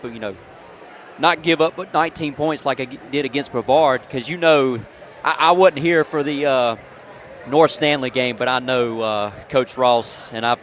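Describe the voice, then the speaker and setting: A man giving casual, folksy basketball color commentary on a live radio broadcast. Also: medium pitch. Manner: folksy, conversational, peppered with "man". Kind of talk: casual pregame analysis. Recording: noisy gym ambience behind live broadcast.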